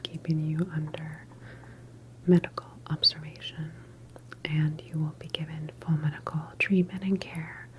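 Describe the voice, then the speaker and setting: A woman whispering softly and breathily in an ASMR medical roleplay as a calm, reassuring doctor examining a patient. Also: sits low, background noise. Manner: gentle, unhurried, reassuring bedside manner. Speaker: ASMR performer playing a government facility doctor.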